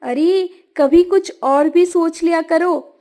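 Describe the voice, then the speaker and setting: Cartoon village wife, voiced by a woman: very high, scolding, morally earnest. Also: slow pace, dark tone, heavily acted. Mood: fed up. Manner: nagging, reproachful. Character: scolding, kind-hearted village hunter's wife.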